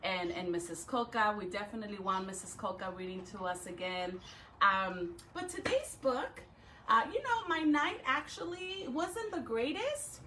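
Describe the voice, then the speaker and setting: A woman talking and reading aloud to young pupils as a school educator, with expressive, drawn-out phrasing. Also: on the high side, a little hiss or hum. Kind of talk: read-aloud for children with chatty intro.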